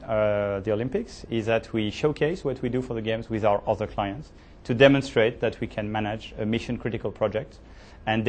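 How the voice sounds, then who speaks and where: A man's voice: on the low side, a little mellow. A man speaking as a company executive in an interview, emphatic with somewhat uneven grammar. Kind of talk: interview statement.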